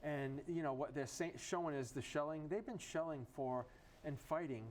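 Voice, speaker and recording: A man's voice: on the high side, moderate pace, a little mellow, slight background noise. A man co-hosting a casual political chat, voicing strong opinions and throwing out rhetorical questions. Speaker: talk show co-host.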